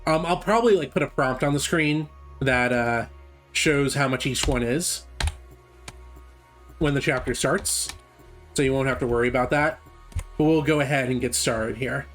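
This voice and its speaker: A man's voice: medium pitch, normal speed, pauses often, fairly bright tone, soft background music. A man giving casual, chatty live commentary on a game speedrun, explaining as he goes. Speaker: gameplay commentator.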